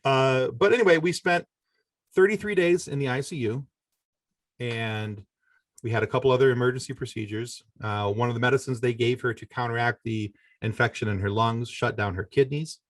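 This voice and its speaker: A man's voice: mid-range voice, no echo. A middle-aged man telling a personal story as a podcast guest, talking conversationally and often stopping to correct himself. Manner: halting, conversational, self-correcting delivery.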